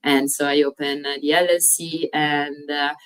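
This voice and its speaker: Woman, italian accent, low-pitched, slightly distant from the mic, hesitant with many uh pauses. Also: level tone. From Italy. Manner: frequent "uh" pauses, slightly non-native grammar. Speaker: guest, fitness coach. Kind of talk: casual interview chat.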